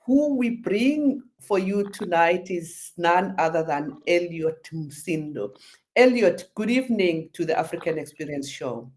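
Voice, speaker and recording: A woman's voice: on the low side, expressive, a little slow, a little mellow. A woman hosting a radio interview show, talking effusively with many fillers.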